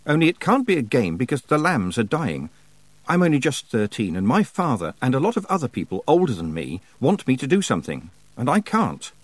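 A man's voice: medium pitch, huge pitch swings, brisk, no room sound, pitched above the performer's own voice. Man voicing an anxious, resolute young witch girl. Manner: earnest, determined. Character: thirteen-year-old girl witch, anxious but resolute.

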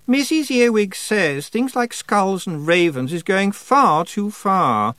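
A man reading aloud, voicing a sharp, condescending teenage girl witch with a needling manner. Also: high-pitched, lively intonation, slow, no echo, pitched above the performer's own voice, heavily acted. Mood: cutting. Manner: snappish, needling.

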